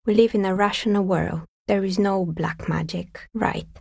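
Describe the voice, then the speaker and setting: Husky, wry woman dicemaker. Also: mid-range voice, steady tone, moderate pace, no echo, clean studio sound. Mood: wry, insistent. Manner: wry, understated, matter-of-fact. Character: dry, sceptical woman novelty dicemaker. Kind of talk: video game character dialogue.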